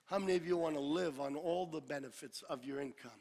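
A male pastor preaching a sermon, building to shouted peaks and dropping into joking asides. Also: on the high side, fast talker. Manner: builds to shouted climaxes, then drops to a jokey aside. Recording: long hall reverb.

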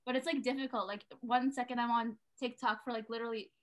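Chatty young woman, slightly high voice. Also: fairly even intonation, fast. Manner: chatty, many fillers. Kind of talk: casual group chat.

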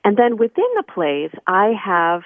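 A woman's voice: mid-range voice, animated, a little slow, dry recording. Woman on a thin phone line. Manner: conversational, explanatory, informal. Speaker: guest, scholar and editor. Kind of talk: casual explanatory interview.

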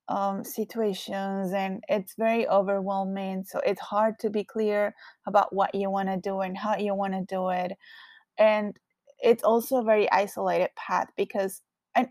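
A woman's voice: mid-range voice, darkish tone, no echo. A woman from Colombia with a strong Colombian Spanish accent, hosting a solo podcast in a reflective, candid way. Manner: reflective, candid, with frequent fillers. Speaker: podcast host.

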